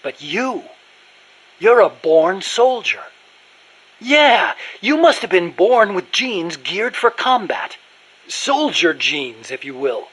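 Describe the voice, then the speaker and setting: An actor playing an earnest, talkative American male nuclear scientist in a video game, explaining things in an animated manner. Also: sits high, slow pace, husky, heavily acted, low-quality recording. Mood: reflective, probing.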